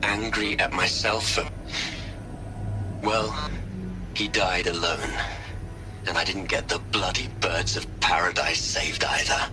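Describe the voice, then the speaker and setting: An actor playing a bitter, grieving older scientist, speaking ruefully and deliberately in a video game audio log. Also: male voice, low voice, low-quality recording. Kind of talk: scripted audio log monologue. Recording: music behind the voice. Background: music.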